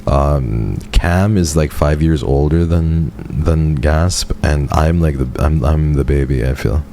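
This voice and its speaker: A man in his twenties with an extremely low voice chats casually with his livestream viewers. He rambles warmly and often leaps upward in pitch when something excites him. A faint hiss sits under the desk-mic recording. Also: slow pace, creaky. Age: 20s. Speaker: VTuber streamer.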